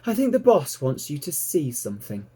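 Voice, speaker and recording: A patient, motherly female sheepdog from a children's storybook, played by a man. The voice is kindly and knowing, a little raised and lively, explaining things gently. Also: fairly high pitch, normal speed, husky, voice pushed up, heavily acted.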